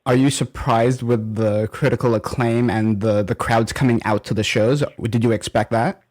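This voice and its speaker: A man with an average-pitched voice hosts a podcast interview. He asks questions and keeps things moving with short, encouraging prompts. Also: fairly even intonation, moderate pace, a little mellow. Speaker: podcast host.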